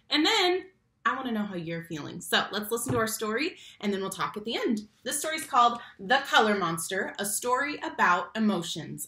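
A young adult woman reads a picture book aloud to young children, expressively, stressing key words and speaking to the listeners directly. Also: mid-range voice. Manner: animated, slow emphatic phrasing on key words, addresses children directly. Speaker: read-aloud teacher and storyteller. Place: small room at home, indoors. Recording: roomy home webcam sound.

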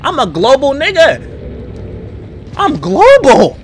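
Animated young man streaming a game. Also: extremely high pitch, slow pace, slight background noise. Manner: loud, animated, joking, repeats phrases. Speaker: gaming streamer. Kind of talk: casual let's-play commentary. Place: small room indoors. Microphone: headset.

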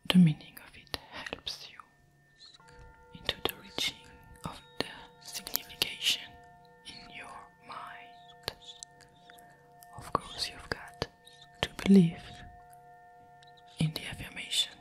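Whispering French-accented woman, very slow. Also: fairly low pitch, wildly swooping pitch, soft background music. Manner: slow, soothing, with long pauses. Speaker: meditation and ASMR guide. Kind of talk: whispered ASMR guided affirmation.

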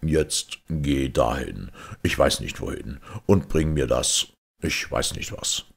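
A man playing a stern, overbearing fairy-tale king with a deep, gravelly voice and a commanding, menacing manner. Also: lively intonation, slow, full character voice.

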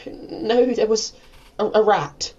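A man plays a timid, inept brother in an audio comedy. He is snobbish yet hesitant, stumbling evasively over his words in a high voice for a man. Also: slow, darkish tone, highs cut off, a little hiss or hum. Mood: nervous, evasive. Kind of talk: comedy audio drama acting.

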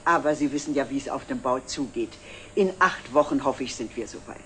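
An actress playing a worried but composed middle-aged housewife in a 1960s German TV drama, speaking politely and firmly. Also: female voice, extremely low pitch, brisk, fairly bright tone. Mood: polite, uneasy. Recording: slightly noisy old 1960s TV soundtrack.

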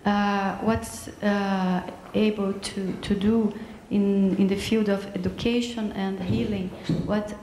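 A woman's voice: medium pitch, fairly even intonation, takes it slowly, dark tone, a little hiss or hum. A woman interpreting aloud in a large hall, hesitant and full of fillers. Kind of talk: live interpreting. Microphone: handheld mic. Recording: echoey hall, long reverb.

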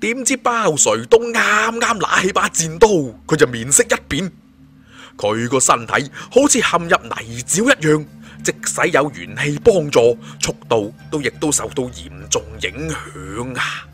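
A man's voice: fairly high pitch, sing-song, a little slow, bright, forceful tone, faint music behind. A man with a Hong Kong Cantonese accent narrating a fantasy story in a dramatic, theatrical and emphatic way. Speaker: audiobook storyteller.

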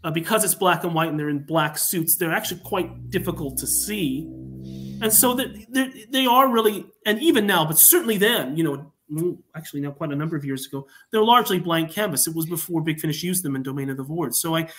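A man talking enthusiastically as a writer guest on an online panel chat, telling his story in an animated way. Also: a little high, brisk. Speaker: podcast guest, fan writer. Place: remote online call.

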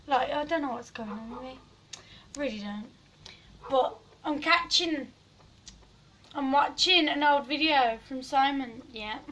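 A woman's voice: high voice, measured pace, long pauses. A teenage girl, losing her voice, chatting and rambling in a giggly selfie vlog in her bedroom. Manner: rambling, giggly, drifts loud and soft. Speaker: vlogger. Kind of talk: casual selfie vlog chat. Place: small bedroom indoors. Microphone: phone camera at arm's length.

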